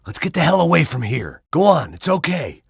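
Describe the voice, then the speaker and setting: An actor playing a world-weary, self-loathing middle-aged ex-cop, giving sardonic, deadpan noir narration for a video game. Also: male voice, fairly high pitch, fairly quick, vocal fry, slightly rough, no room sound, studio quality, heavily acted. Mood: urgent, shouting. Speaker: game protagonist. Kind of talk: hardboiled video game inner monologue.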